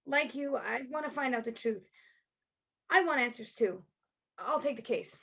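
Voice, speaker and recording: A woman playing a female private investigator, outwardly sympathetic and halting, in a dramatic stage play reading. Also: on the high side, lively intonation, gravelly, fairly bright tone, full character voice. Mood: businesslike. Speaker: actor in a play workshop.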